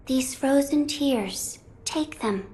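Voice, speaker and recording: A woman playing a gentle young holy maiden in a video game, speaking in a formal, measured and delicate way. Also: high-pitched, heavy background noise. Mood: serene, solemn. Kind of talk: video game cutscene acting.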